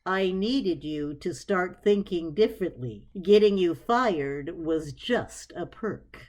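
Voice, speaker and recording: A woman plays a female aloe plant with a mind of her own in a dramatized story. She is sarcastic and bossy, teasing in an unhurried way, with big swings of pitch. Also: expressive, darkish tone. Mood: matter-of-fact.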